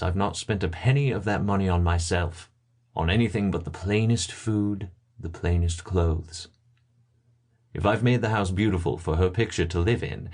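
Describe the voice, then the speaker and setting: A deep-voiced man acting as an elderly gentleman from a storybook drama. He is a bereaved father, solemn and earnest, speaking with gentle sorrow. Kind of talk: dramatic audiobook reading.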